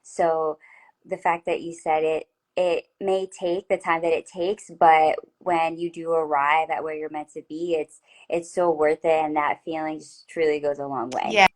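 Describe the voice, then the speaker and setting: A woman's voice: low voice, level tone, unhurried, rough recording. A woman hosting a casual interview over a video call, talking in an affirming, chatty way with frequent restarts. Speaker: host.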